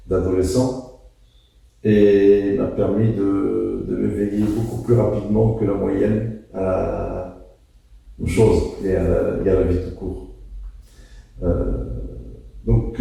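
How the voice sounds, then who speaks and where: A man in his sixties speaks from a distance in a very reverberant room. His voice is very dark and gravelly. He talks in a reflective, halting way, choosing words with care and repeating some for weight. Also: low voice. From Morocco, moved to France at thirteen. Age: 60s. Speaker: interview guest, physics teacher. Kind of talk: reflective conversational interview answers. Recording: very echoey room, distant mic.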